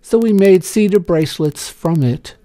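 A man voicing a grateful schoolchild's letter in a story reading, earnest and measured. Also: high voice, mellow, no room sound, pitched above the performer's own voice, close to an everyday voice. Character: grateful young schoolchild writing a sincere letter.

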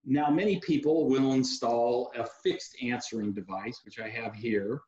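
A man's voice: medium pitch, unhurried. Brief: man in his forties, industry guest, easygoing product explainer, roomy echo, dull webcam sound. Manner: relaxed, practical, sales-savvy explainer, many uh fillers. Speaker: security technology company guest. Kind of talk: video call interview, explaining products. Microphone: video call webcam mic. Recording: echoey room over a dull video call.

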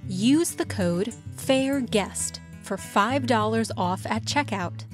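A woman reads a scripted promo for the show in an upbeat, selling tone. Plucked guitar music plays clearly underneath her. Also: a little high, lively intonation, slow. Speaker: sponsor announcer.

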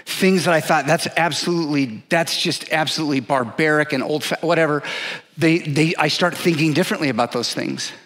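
Pastor, man in his forties, emphatic sermon delivery on a headset mic, voice on the high side. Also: a bit bright. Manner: earnest, emphatic preaching with pauses; paces the stage, gesturing. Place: large dark church stage.

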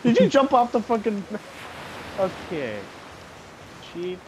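Animated young man hosting a game. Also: sits high, takes it slowly, a crowd in the background. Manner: animated, swearing, laughing. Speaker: server host running game commands. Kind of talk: casual gaming chat. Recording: noisy game audio.

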